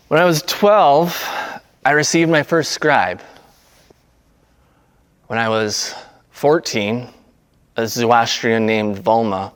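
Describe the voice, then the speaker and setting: Long reverb, male, smooth clear voice, mid pitch, understated, halting, amateur monologue reading. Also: a bit bright. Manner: halting, low-key, naturalistic delivery. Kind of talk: casual intro, then understated monologue reading.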